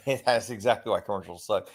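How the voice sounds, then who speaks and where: A man's voice: lowish voice, normal speed. Laid-back joking man in a group chat. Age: 40s or 50s. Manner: laughing, self-deprecating. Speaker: guest.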